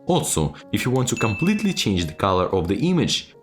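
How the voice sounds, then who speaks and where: A man speaks over clear background music. His delivery swings up and down a lot as he hands out sarcastic tips, playful and mock-serious, leaning hard on his key words. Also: lowish voice, very animated, fairly quick. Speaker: video creator giving sarcastic game-making tips. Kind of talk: tongue-in-cheek video tutorial narration.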